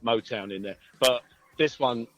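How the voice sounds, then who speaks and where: A man's voice: mid-range voice, unhurried, a bit bright, slight background noise. A man, a musician being interviewed, talking casually in a hesitant, rambling way. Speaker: band musician, interview guest.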